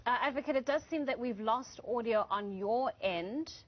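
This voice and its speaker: A woman news anchor putting formal, measured questions to a guest and steering the interview. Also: a little high, dull audio.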